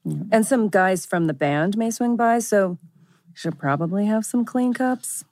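A woman's voice: medium pitch, lively intonation, unhurried, a little mellow, no echo, clean studio sound. An actress playing an easygoing young woman in a table read of a teen drama. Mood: casual. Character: easygoing young woman living with her girlfriend.

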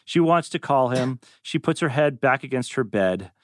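Animated man reading stage directions. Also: medium pitch, moderate pace, no echo, studio quality. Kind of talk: reading script directions at a live table read, with ad-libbed asides.

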